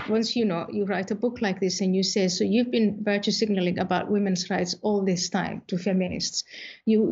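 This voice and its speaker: A woman from Somalia, a guest author, answering interview questions in a measured, thoughtful way with frequent pauses and fillers. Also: mid-range voice, darkish tone, breathy edge, highs cut off.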